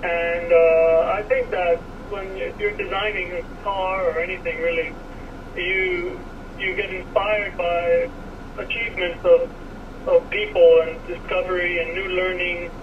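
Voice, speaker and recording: A man from Miami with an American accent, answering interview questions thoughtfully with many pauses and fillers. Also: sits high, little pitch movement. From Miami, USA. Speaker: car design director guest. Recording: distant, dull, noisy radio audio.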